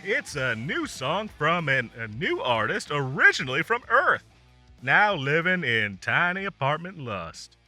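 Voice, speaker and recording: A man's voice: a little high, wildly swooping pitch, unhurried, sharp tone, faint music behind, exaggerated voice. A man playing an upbeat demon radio host, chatting through a chart countdown in showy, teasing announcer patter for an audio roleplay. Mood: chatty, showy.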